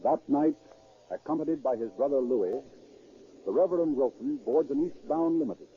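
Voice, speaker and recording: A man's voice: medium pitch, normal speed. A man announcing and narrating in scripted 1930s radio style, formal and declamatory. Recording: tinny 1930s radio recording.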